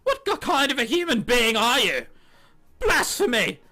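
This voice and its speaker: Guy doing over the top excitable college kid from a visual novel, high bright voice, super dramatic. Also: a little slow, full character voice. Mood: indignant, determined. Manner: melodramatic, exclaiming. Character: excitable cake-obsessed college student, melodramatic and fervent.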